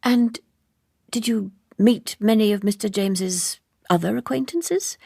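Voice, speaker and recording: A woman voicing a reserved young typist in an audiobook novel, careful, polite and quietly probing. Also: mid-range voice, a little slow, creaky, husky, no room sound, studio quality, pitched above the performer's own voice. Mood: guarded curiosity.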